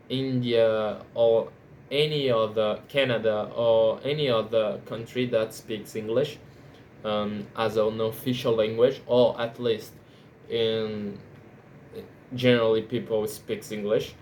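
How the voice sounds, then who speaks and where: A Brazilian man speaking English as a second language, his first being Portuguese, in an unscripted monologue, often halting and restarting his sentences. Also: lowish voice, a little mellow, slight background noise. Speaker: language learner vlogger.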